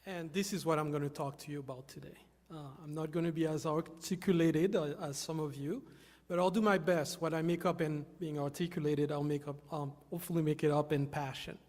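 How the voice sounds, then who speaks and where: A man's voice: on the high side, steady tone, fairly quick, a little mellow. Earnest man in a reverberant hall. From Haiti. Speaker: volunteer speaker. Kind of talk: short stage talk. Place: hall with an audience. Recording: long reverb of a large hall.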